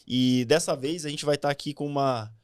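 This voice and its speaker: A man hosting a casual podcast interview, relaxed and chatty, often ending phrases with a questioning "right?". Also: on the high side, normal speed, smooth. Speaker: podcast host.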